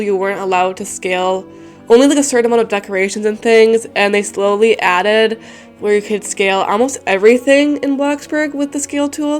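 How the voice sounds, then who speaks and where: A young woman with a congested, stuffy voice, chatting casually and rambling with lots of fillers while talking over a building game. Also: mid-range voice. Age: young adult. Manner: rambling, many fillers and restarts. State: congested, recovering from a cold. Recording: soft music behind the voice.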